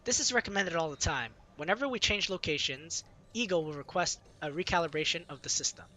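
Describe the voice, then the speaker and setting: A man's voice: on the high side, a little fast, pushed and bright. A man reading a visual novel aloud, narrating in the first person with light laughs. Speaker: let's-play reader.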